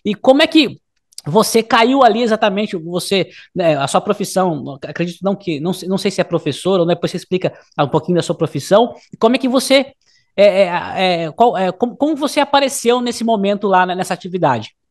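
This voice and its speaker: A man's voice: sits high, lively intonation, brisk. A man with a Brazilian accent, casually interviewing as host in a rambling way, restarting his questions.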